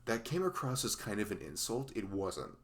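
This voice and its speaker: Man acting as a dorky, rambling best friend, swooping pitch. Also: darkish tone. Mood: tender, admiring. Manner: rambling, stammering, self-deprecating, giggly. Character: dorky young male best friend, nervous, hopeless romantic nerd. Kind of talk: conversational ASMR roleplay audio.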